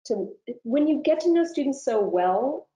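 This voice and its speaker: A woman's voice: sits high, lively intonation, a little slow, warm tone. A woman professor speaking as an interview guest, giving thoughtful, informal answers in a conversational way and often rewording as she goes. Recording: roomy, echoing room sound.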